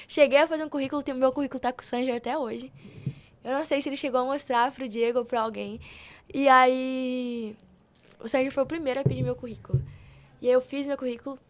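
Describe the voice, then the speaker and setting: Young woman, Brazilian accent, higher register, informal storyteller, podcast guest. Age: young adult. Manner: informal and slangy, retelling conversations in quoted dialogue. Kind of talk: casual personal storytelling.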